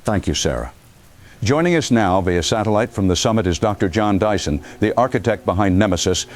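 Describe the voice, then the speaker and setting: A man's voice: lowish voice, lively intonation, fairly quick, close to an everyday voice. A man playing a composed news anchor in an audio drama, putting probing questions in a formal broadcast manner. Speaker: news anchor interviewing a guest.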